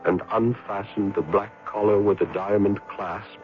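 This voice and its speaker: A man's voice: lowish voice, fairly even intonation, measured pace, lightly acted. Male actor, haunted young lover, grave theatrical horror narration, anguished, muffled vintage radio sound. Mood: horrified, grave. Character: haunted young man narrating his doomed love in horror. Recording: dull vintage radio recording, music behind.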